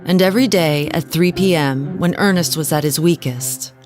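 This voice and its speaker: An actress playing a haunted, genteel wife who tells her story in a measured, dramatic way in an audio drama. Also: sits low, over music, lightly acted. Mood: haunted, grave. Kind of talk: scripted audio drama narration.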